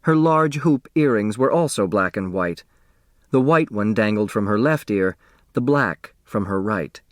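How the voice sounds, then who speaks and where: A man narrating a story as an audiobook reader, expressive and scripted. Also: lowish voice, unhurried, dry recording, studio quality.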